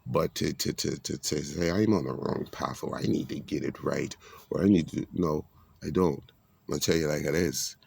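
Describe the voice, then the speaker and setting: Deep gravelly man rambling preachy talk. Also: darkish tone, no room sound. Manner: rambling, preachy, streetwise talk with biblical references. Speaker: vlogger giving a monologue. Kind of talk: casual spiritual monologue.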